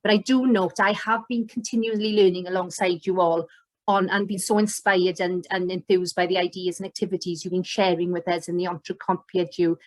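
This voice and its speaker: A woman's voice: on the low side, fairly even intonation, normal speed, no room sound, poor audio. A middle-aged woman with a Welsh accent presenting an online teacher course over slides on a video call, enthusiastic and loose, with many fillers and restarts. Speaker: educator and presenter. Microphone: webcam on video call.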